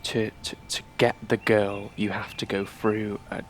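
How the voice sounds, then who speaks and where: A tentative, puzzling man , played by an actor, in an audio drama. His voice is fairly low and quite monotone, with a faint rumble underneath. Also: unhurried, close to an everyday voice.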